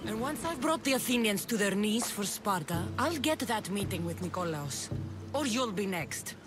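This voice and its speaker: An actress playing a bold, determined young mercenary in a video game, confident and direct. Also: female voice, mid-range voice, very animated, normal speed, over music, lightly acted. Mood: grimly determined. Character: bold, determined young female mercenary, hardened and self-assured. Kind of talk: dramatic video game acting.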